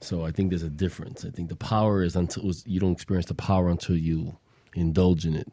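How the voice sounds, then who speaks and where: A man who is a guest on a radio talk show, telling an animated story in a testifying tone. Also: sits low, fast talker, breathy edge, no room sound.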